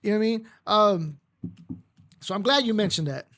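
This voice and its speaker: A man's voice: high voice, animated, normal speed, bright, forceful tone, no room sound. A man from Mexico, a native Spanish speaker, hosting a casual chat in a blunt, profane and heated way. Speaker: podcast host.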